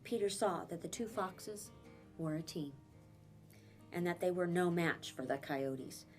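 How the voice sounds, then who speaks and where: A woman, a teacher, reading a children's novel aloud in an expressive, dramatic way with emphatic phrasing and pauses. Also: fairly low pitch, faint music behind. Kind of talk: expressive children's storybook narration.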